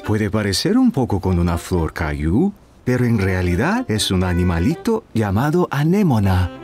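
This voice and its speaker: A man voicing a patient, reassuring and playful father in a children's cartoon, explaining things gently and encouragingly. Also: on the low side, huge pitch swings, normal speed, faint music behind.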